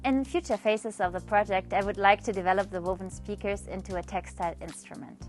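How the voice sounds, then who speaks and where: A woman's voice: medium pitch, fairly even intonation, fairly quick. A woman, giving a scripted presentation as an artist. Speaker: textile artist presenting own project. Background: music.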